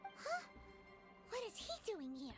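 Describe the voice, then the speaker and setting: A woman's voice: extremely high pitch, wildly swooping pitch, slow pace, loud music behind the voice, heavily acted. A woman voicing a small, sassy fairy companion who talks about herself in the third person. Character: small floating female fairy companion, chirpy.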